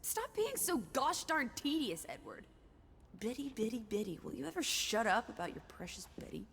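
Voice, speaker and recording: A woman's voice: on the high side, sing-song, moderate pace, creaky, fairly bright tone, exaggerated voice. An actress playing a cheeky, teasing young 1920s girl companion in a video game, playful and sassy with period slang. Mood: sassy, annoyed. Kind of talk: lively video game dialogue. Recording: long echoing reverb, background noise.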